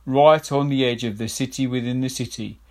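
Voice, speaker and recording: A man's voice: medium pitch, steady tone, brisk, close to an everyday voice. A man voicing an old, dutiful royal chief adviser who speaks formally and deferentially.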